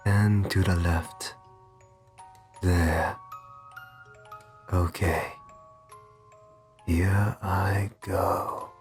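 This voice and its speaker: Male actor as doting aristocratic vampire, ASMR roleplay. Low-pitched, unhurried, soothing and genteel, over music. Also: steady tone. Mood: soothing, hungry. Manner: gentle, reassuring, genteel endearments. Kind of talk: ASMR roleplay, scripted intimate monologue.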